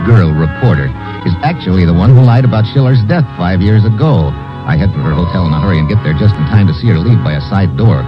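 Deep-voiced, hard-boiled American investigator. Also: male voice. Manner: clipped, wisecracking, tough. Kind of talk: 1950s radio drama acting and first-person narration. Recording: muffled old radio recording with music.

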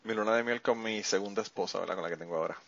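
A man's voice: lowish voice, racing, creaky, crowd noise. A man with a Puerto Rican accent hosting a podcast, chatting casually, teasing his guest and laughing often. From Puerto Rico. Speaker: podcast host. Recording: dull lo-fi sound.

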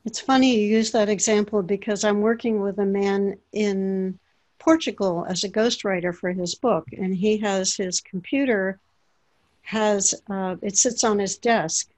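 A woman hosting an interview, asking questions in a steady, measured way. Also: mid-range voice. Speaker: interviewer, ghostwriter. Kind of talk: conversational interview.

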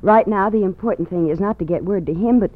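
An actress playing a firm, protective young daughter in an old radio drama. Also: female voice, mid-range voice, quick pace, lightly acted. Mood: caring. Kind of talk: 1940s radio acting. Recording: muffled old radio with faint hiss.

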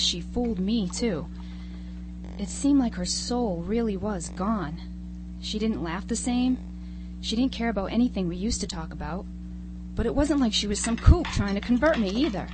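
A woman's voice: mid-range voice, animated, moderate pace, natural voice. Woman acting a frightened teenage girl, noisy audio. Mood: uneasy. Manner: earnest, uneasy. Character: frightened sixteen-year-old small-town girl, cautious and sensible. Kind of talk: live amateur radio drama acting.

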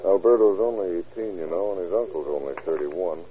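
A man's voice: low voice, a little fast, close to an everyday voice. An actor playing a tough male police investigator, questioning in a clipped, authoritative way in an old radio crime drama. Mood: businesslike. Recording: muffled vintage radio show recording.

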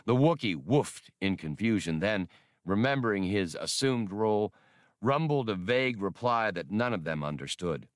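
A man's voice: lowish voice, expressive, moderate pace, no echo, studio quality. A man reading adventure fiction as a scripted, dramatic audiobook narration. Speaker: audiobook reader.

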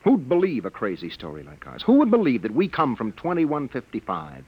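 An actor playing a protective, wary husband in a 1950s radio drama, earnest and tense on a vintage recording. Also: male voice, medium pitch, huge pitch swings, brisk, breathy edge, dull audio. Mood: grave. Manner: soothing but tense, earnest.

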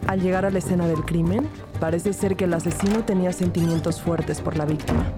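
An actress playing an earnest, inquisitive police investigator who narrates and acts in a scripted crime podcast drama. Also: female voice, lowish voice, steady tone, a little fast, over music, close to an everyday voice. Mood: grave narration. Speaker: narrator and detective character.

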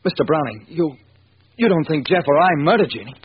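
Actor as high-pitched, earnest man in old radio drama. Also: expressive, brisk, natural voice. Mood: distraught. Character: excitable, earnest man in a radio crime story. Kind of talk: 1940s radio acting. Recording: dull, hissy old radio recording.

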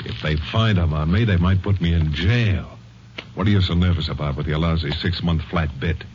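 An actor playing a menacing, sarcastic convict killer with tough gangster slang in a 1950s radio crime drama. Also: male voice, deep voice, normal speed, darkish tone, lightly acted. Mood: threatening, scornful. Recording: muffled, noisy vintage radio recording.

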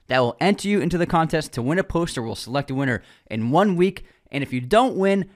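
A man's voice: fairly high pitch, dry recording, studio quality. Man co-hosting a movie podcast. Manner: reads the sponsor spot briskly, then jokes and laughs. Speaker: podcast co-host. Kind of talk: casual podcast chat.

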